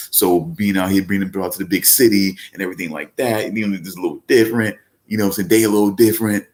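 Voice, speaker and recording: Male podcast host, very low voice, loose rambling delivery, casual live-show chat. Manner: rambling, with many fillers and restarts; speaks up when emphatic. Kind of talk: casual live-stream talk.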